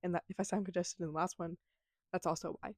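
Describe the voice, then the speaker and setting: Young woman vlogger, quick casual talker, stuffy nose, dry close sound. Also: lowish voice, steady tone, dry recording. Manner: casual, chatty, many fillers, says "like" a lot. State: congested. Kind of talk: casual recap from memory. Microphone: camera mic.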